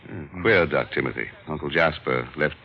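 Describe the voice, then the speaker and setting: An actor playing a rich, fussy, self-important art collector and unhappy husband in an old-time radio detective drama, with a pompous, fluttery manner. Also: male voice, a bass voice, moderate pace. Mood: fussy, agitated. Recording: dull, lightly noisy old radio recording.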